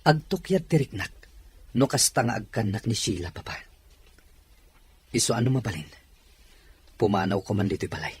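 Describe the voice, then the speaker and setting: Man acting unhappy young son, low voice, very husky, breathy, weary complaining, drama. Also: lively intonation, normal speed, pauses often. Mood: pained, resentful. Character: unhappy, resentful married son opening up to father.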